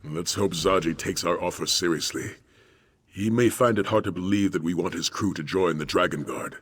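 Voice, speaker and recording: An actor playing a steadfast, pragmatic dragon hunter in a video game, with a formal, earnest delivery. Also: male voice, low-pitched, moderate pace, breathy edge. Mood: earnest, determined. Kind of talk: dramatic video game dialogue.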